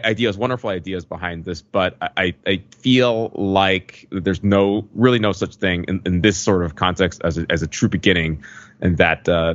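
Guy w/ lowish voice, halting casual podcast talk, bit muffled. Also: no room sound. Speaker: podcast co-host. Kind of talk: casual conversational discussion.

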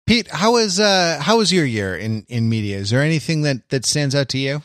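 A man's voice: fairly high pitch, sing-song, normal speed, a bit bright, dry recording, clean studio sound. A man co-hosting a podcast in casual conversation, with a wry, sardonic way of putting things. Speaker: podcast co-host.

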